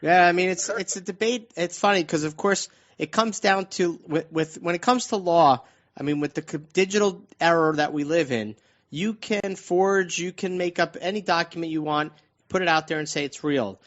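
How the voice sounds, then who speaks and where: A man hosting informal talk radio in a halting way that circles back over words, on dull, lo-fi audio. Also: a little high. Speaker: radio show host.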